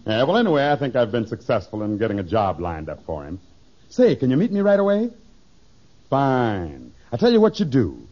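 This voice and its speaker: A man's voice: mid-range voice, huge pitch swings, normal speed, darkish tone. An actor playing a polite, chatty, businesslike man in a 1950s radio sitcom, plain-spoken in manner. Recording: dull old radio recording.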